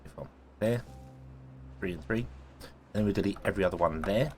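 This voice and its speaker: A man, casually thinking aloud as he plays a building game, his voice a little croaky from a cold. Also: low-pitched, fairly quick, faint music behind. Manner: thinking aloud, rambling, self-correcting. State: croaky, sneezy voice. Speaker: gaming video host. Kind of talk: casual let's-play commentary.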